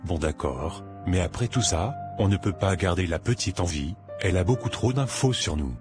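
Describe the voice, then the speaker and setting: An actor playing a nervous, ruthless young male gang member in an animated drama, talking insistently. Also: low-pitched, normal speed. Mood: cold. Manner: insistent, complaining. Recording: music under the voice.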